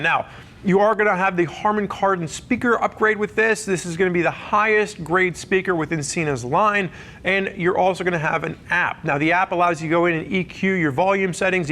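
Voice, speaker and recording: A man with a noticeably high voice presents a product review. He speaks conversationally and explains each feature step by step, as if working from loose notes. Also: a little fast, sharp tone. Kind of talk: semi-scripted product review to camera.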